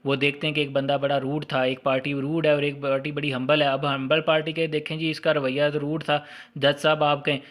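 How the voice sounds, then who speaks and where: A man's voice: a little high, level tone, a little fast, a bit bright. A man with a Pakistani accent giving an informal explanatory lecture, didactic, repeating key points and mixing in English terms. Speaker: law lecturer.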